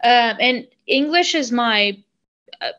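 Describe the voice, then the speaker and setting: A woman, a singer talking earnestly in an interview, often pausing and restarting. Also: a little high, animated, a bit bright, lo-fi sound. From Armenia. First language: Armenian. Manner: earnest, halting with restarts and ums. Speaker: singer guest. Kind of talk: casual interview chat.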